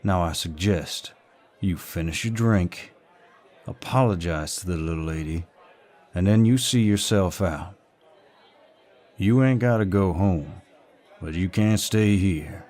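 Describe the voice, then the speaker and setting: A man playing a protective, dominant Southern gentleman in an audio roleplay, speaking politely but firmly with a Southern US drawl. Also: deep voice, slow, pauses often, a faint crowd. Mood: calm, firm. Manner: polite, drawling, firm, old-fashioned courtesy. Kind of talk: roleplay audio acting, intimate and scripted.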